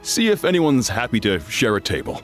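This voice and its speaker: A man's voice: mid-range voice, wildly swooping pitch, normal speed, soft background music. A man playing a polite, apologetic, helpful restaurant waiter in a video game. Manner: polite, accommodating. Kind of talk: video game character dialogue.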